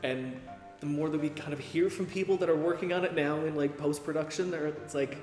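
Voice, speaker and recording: Guy radio actor gushing in a doc interview, highish voice, repeats himself, lots of echo. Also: fairly quick. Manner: excited, repetitive. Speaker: radio drama performer. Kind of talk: documentary interview, casual talk. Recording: roomy echo with faint music behind.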